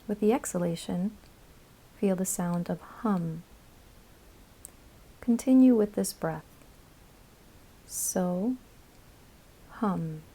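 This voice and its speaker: A woman guiding a yoga nidra meditation in a calm, soothing, instructional manner, with measured phrases and pauses. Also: lowish voice, slightly rough, rough recording. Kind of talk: guided meditation narration.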